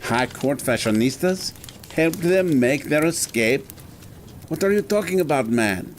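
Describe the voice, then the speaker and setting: A man's voice: fairly high pitch, expressive, a little slow, a little hiss or hum. An actor playing a stern, haughty male head of an academy in an audio drama, speaking formally. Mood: imperious. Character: pompous, evasive, imperious scholar in charge of an academy.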